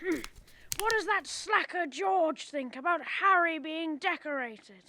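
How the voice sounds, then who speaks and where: A woman's voice: very high-pitched, measured pace, pushed and bright, dry recording, full character voice. A young woman playing a scornful, crotchety old rich man in a radio drama, barking and sneering. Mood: scornful, irritable. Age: young adult. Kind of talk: school radio drama acting.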